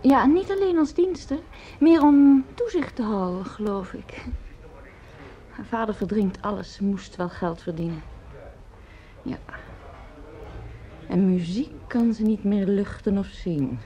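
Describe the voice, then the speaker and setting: A woman's voice: medium pitch, a little slow, long pauses, warm tone, natural voice. An actress playing a worried, weary middle-aged mother in a Dutch radio drama, hesitant and subdued. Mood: hesitant, uneasy. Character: worried, weary, reticent mother of a grown son. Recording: dull, muffled old radio recording.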